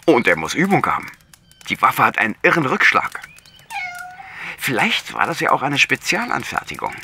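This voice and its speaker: A man's voice: medium pitch, huge pitch swings, normal speed, gravelly, sharp tone, a little hiss or hum, exaggerated voice. An actor playing a talkative, matter-of-fact village policeman explaining things emphatically on the phone in a radio play. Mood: eager, informative.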